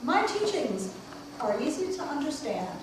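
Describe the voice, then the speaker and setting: Lady doing an ancient sage declaiming wisdom in a puppet show, highish voice, really echoey room. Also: sing-song, a little slow. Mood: serene, instructive. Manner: declaiming, oracular. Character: serene ancient sage reciting cryptic wisdom. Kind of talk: amateur puppet show acting. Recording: echoey noisy room, far from mic.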